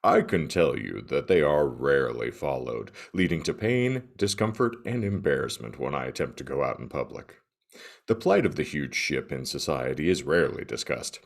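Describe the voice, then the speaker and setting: A man's voice: rumbling low, lively intonation, normal speed, gravelly, exaggerated voice. A man voicing an aggrieved, self-pitying huge ship, earnest and melodramatic in a mock-serious dramatic reading. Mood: wounded, resentful.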